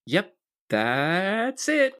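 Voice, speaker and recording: A performer playing an awkward, defensive runaway prince with a halting manner in a tabletop game. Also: mid-range voice, wildly swooping pitch, a bit bright, voice pushed up, exaggerated voice. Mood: flustered. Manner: halting, flustered.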